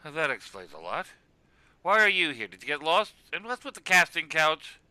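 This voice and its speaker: A man's voice: fairly high pitch, big pitch swings, normal speed, pushed and bright, heavily acted, poor audio. A man voicing a hot-tempered, sarcastic cartoon Viking with a blunt, exasperated delivery. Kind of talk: comedic cartoon voice acting.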